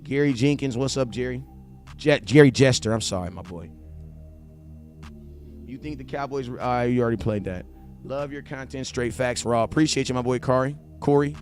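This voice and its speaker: A man's voice: mid-range voice, normal speed, a little mellow, soft background music. A man, chatting loosely on a live stream, talking straight to his viewers and repeating points for emphasis. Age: 40s. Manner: loose slangy talk, addresses viewers directly, repeats himself for emphasis. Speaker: live streamer, sports fan host. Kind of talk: casual live-stream chat reading viewer comments.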